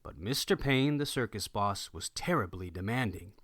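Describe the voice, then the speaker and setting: A man reading a rhyming picture book aloud with an expressive sing-song rhythm. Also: fairly low pitch, normal speed, no echo, studio quality. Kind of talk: rhyming read-aloud narration.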